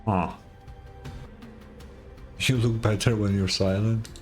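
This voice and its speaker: A man's voice: fairly low pitch, fairly even intonation, takes it slowly. A man streaming a game playthrough, reacting casually and irreverently, swearing and talking back to the characters. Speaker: gaming streamer. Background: game music.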